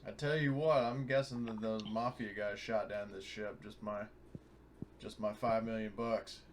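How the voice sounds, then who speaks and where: A man's voice: on the low side, steady tone, moderate pace. A man talking casually as a player during a tabletop roleplaying game. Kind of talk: casual chat. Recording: noisy audio, clear background noise.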